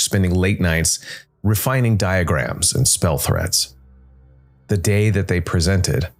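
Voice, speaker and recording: Low-voiced man narrating over music. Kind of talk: scripted dark fantasy story narration. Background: background music.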